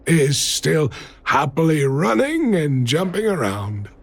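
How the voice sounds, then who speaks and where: A man playing a gentle, loving, middle-aged miner father in a video game, speaking tenderly. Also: fairly high pitch, sing-song, takes it slowly, gravelly, breathy edge, exaggerated voice. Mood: gently explaining. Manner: tender, reassuring.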